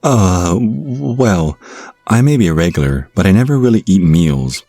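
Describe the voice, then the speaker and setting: A low-pitched actor as an awkward young American guy in an audio drama. He is apologetic and trips over his words, recorded close and dry. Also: lively intonation, normal speed, warm tone, dry recording. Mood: nervous, chuckling. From United States. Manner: stammering, apologetic. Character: awkward, flustered young American man on a date. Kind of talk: audio roleplay acting and first-person narration.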